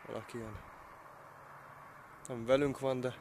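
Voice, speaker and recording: A man's voice: medium pitch, little pitch movement, darkish tone, slight background noise. A man vlogging in casual chat, swearing freely as he goes. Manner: casually foul-mouthed. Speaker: vlogger filming behind the scenes.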